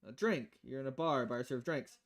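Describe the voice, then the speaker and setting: A woman voicing a calm female bartender in a video game, with a dry, deadpan, unhurried delivery. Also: a bass voice, pitched below the performer's own voice.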